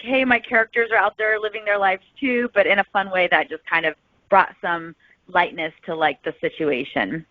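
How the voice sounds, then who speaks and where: A woman talks casually and at length as an interview guest, wandering informally through her answers. Her medium-pitched voice comes through thin and phone-like. The sound is very dry. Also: dry recording. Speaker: podcast guest, novelist. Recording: tinny, phone-like call audio.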